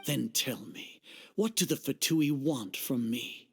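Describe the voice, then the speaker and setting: An actor playing a pompous, coldly menacing older grand sage in a video game dub, formal and condescending, with a husky voice. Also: male voice, medium pitch, animated, slow, exaggerated voice. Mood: condescending, impatient.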